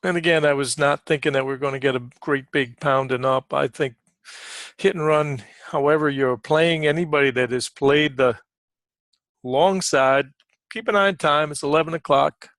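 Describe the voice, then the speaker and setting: A man with a fry-laden, fairly high voice coaches traders in loose, conversational live commentary. He repeats short phrases for emphasis and clears his throat often. The sound is close and dry. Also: moderate pace, fairly bright tone, no room sound. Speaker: trading coach giving market commentary. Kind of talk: informal live market commentary.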